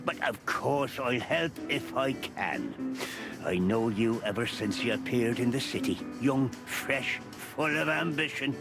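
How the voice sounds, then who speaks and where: An actor playing a jovial, stubborn old beaver in a video game, with an Irish accent and a teasing, folksy, fatherly manner. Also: mid-range voice. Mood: warm, fond. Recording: music under the voice.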